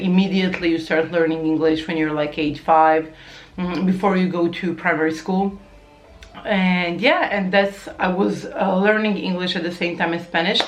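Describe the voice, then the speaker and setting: A woman's voice: on the low side, rough recording. Chatty young woman from Croatia. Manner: chatty, restarts sentences often, enthusiastic. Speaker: language vlogger. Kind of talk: casual talk to camera.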